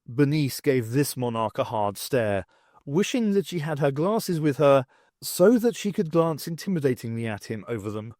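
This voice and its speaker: Lively male audiobook narrator. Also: medium pitch, normal speed, no echo, clean studio sound. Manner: lively, expressive reading. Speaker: audiobook reader. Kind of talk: storybook narration.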